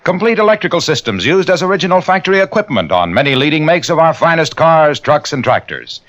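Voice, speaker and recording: A male radio announcer who introduces the star and reads the sponsor's commercial in a smooth, salesman-like way. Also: a little high, very animated, quick pace, a bit bright. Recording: dull old radio broadcast.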